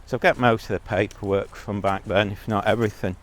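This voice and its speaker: A man's voice: on the low side, steady tone, a little fast. A man giving a blunt, rambling monologue as a vlogger, talking while out on a walk.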